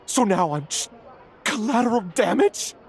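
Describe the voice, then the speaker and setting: A man's voice: high voice, very animated, a little slow, gravelly, breathy edge, heavily acted. A man voicing a desperate, nervous clerk in a dramatic video game scene, anxious and faltering. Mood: panicked, despairing. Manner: anxious, stammering, pleading. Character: desperate, nervous male clerk in money trouble.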